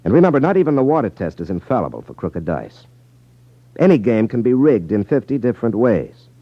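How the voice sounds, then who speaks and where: An American actor playing a cool, wry magician detective in a 1950s radio drama, with a teasing, unruffled manner. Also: male voice, mid-range voice, sing-song, a little fast, no room sound. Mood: genial, confident. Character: cool, wry magician detective who exposes gambling rackets. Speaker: lead actor in a detective series. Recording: muffled 1950s radio broadcast recording.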